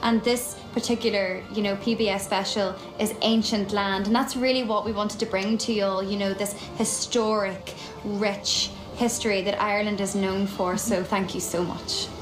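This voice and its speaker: A woman's voice: medium pitch, steady tone, normal speed, hardly any pauses, echoey room, music in the background, low-quality recording. A woman singer giving effusive, emotional thanks in an interview.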